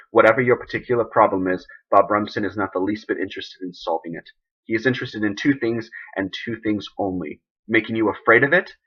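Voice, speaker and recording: Man acting a forceful, husky, indignant president. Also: on the low side, muffled recording. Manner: oratorical, emphatic, deliberate. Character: embattled US president, a firm, indignant, idealistic man. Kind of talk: dramatic film monologue as a televised speech.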